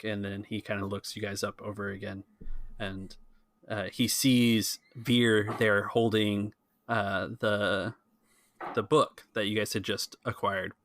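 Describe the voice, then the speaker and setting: A man's voice: fairly low pitch, a bit bright, slight background noise. A man hosting a podcast and narrating a tabletop role-playing game as game master.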